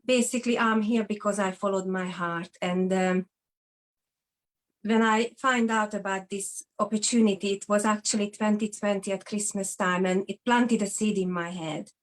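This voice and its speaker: A woman's voice: mid-range voice, fairly even intonation, normal speed. Hungarian accent woman, 40s, telling her story as a guest, earnest. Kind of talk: personal testimony in an interview.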